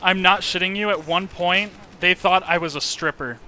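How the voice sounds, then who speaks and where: A young man casually telling a funny story to his viewers, animated, acting out the lines he quotes. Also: high voice, moderate pace, a little hiss or hum, low-quality recording. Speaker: YouTube host.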